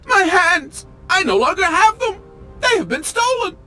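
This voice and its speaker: A man's voice: very high voice, very animated, measured pace, pushed and bright, faint music behind, full character voice. A man playing a pompous, melodramatic automaton train engineer in a video game, with formal, fussy and theatrical diction. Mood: outraged, distressed. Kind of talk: video game character acting.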